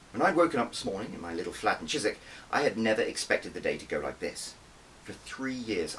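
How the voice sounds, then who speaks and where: A man's voice: on the low side, sharp tone, distant mic, a little hiss or hum, rough recording. A man reading his own novel as an audiobook, in dramatic, emphatic first-person narration.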